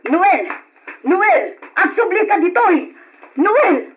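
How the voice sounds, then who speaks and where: A woman acting a weary, caring mother and long-suffering wife with a husky voice in an old Ilocano radio drama. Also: very high voice, big pitch swings, very slow, highs cut off, slight background noise, full character voice. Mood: urgent calling.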